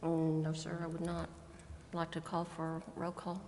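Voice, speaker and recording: A woman's voice: deep voice, monotone, moderate pace, darkish tone. A woman speaking as a council member, firm and direct in a meeting discussion. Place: indoor council chamber. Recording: reverberant meeting chamber with background noise.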